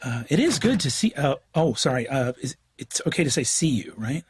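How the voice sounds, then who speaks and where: A man's voice: medium pitch, big pitch swings, a little fast, breathy edge, dry recording, a faint crowd. An actor playing an awkward, eager young student tutor in an audio drama, stammering and joking as he rambles. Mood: nervous, upbeat. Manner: hesitant, stammering, jokey rambling. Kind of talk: naturalistic audio drama acting.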